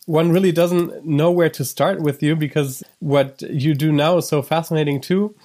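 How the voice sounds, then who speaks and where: A man's voice: fairly high pitch, steady tone, moderate pace, darkish tone. Upbeat German-native man hosting a podcast. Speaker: podcast host. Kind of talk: scripted intro then interview chat.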